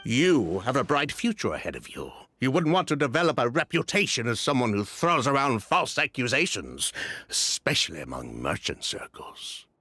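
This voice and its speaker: An actor voicing a polite, smooth-talking male merchant in a game, with veiled threats in his manner. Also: mid-range voice, huge pitch swings, normal speed, bright, forceful tone, full character voice. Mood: politely threatening. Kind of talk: game voice acting.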